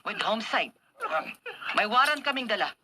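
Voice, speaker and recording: An actress playing a firm, commanding Filipino police officer in radio drama style. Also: female voice, a little high, animated, normal speed, pushed and bright, a little hiss or hum. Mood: stern.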